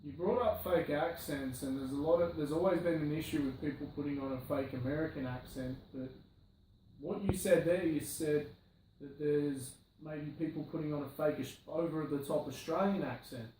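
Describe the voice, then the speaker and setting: A man with a somewhat high voice asks long, conversational questions as an interviewer. He sits away from the mic, so his voice comes through distant and heavily echoed. A faint noise sits underneath. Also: normal speed, dark tone. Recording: far from the mic in a very echoey room.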